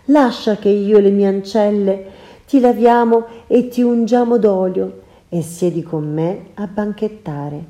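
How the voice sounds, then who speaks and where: A woman's voice: medium pitch, measured pace, warm tone, natural voice. A woman voicing a scheming sorceress in a storybook reading, sweet and mocking by turns. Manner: sugary then mocking, cackling. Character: sorceress woman, scheming, cruel then pleading. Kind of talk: storybook character voice.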